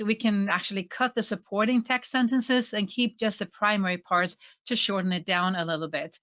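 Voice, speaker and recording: A woman's voice: medium pitch, a bit bright, lo-fi sound. A woman from Sweden with a Swedish accent, giving an enthusiastic, friendly webinar presentation as a trainer.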